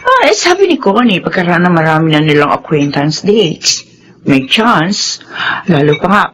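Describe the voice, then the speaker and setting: An actress playing a gossipy, knowing coworker in a Filipino radio drama, chatty and confiding, with a Filipino accent. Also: female voice, on the low side, big pitch swings, normal speed, fairly bright tone, a little hiss or hum.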